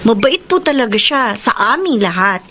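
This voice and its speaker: Polite high-voiced young employee. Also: female voice, big pitch swings, normal speed, fairly bright tone, a little hiss or hum. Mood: respectful. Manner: deferential, polite. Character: polite, devoted young female office employee and daughter. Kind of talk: Filipino radio-style drama.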